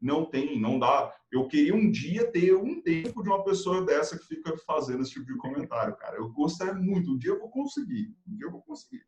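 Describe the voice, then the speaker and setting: A Brazilian man speaking as a guest consultant in a live video chat, energetic and motivational, rattling off quick lists of advice. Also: a little high, highs cut off. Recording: roomy, echoey room sound.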